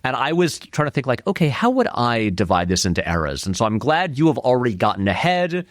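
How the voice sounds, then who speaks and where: A man's voice: medium pitch, big pitch swings, fairly quick, no echo, studio quality. A man speaking casually as an interview guest, thinking aloud as he builds his points. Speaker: podcast guest, film essayist.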